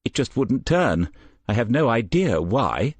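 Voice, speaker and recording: A man's voice: mid-range voice, very animated, measured pace, husky, no room sound, voice pushed up. A man voicing a blunt, matter-of-fact woman supervisor in an audiobook. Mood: curt.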